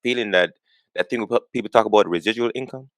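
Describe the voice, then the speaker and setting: A man's voice: fairly low pitch, quick pace, fairly bright tone, dry recording. A man in his forties, from Jamaica, talking earnestly as a podcast guest and repeating words as he gets going. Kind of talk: conversational interview.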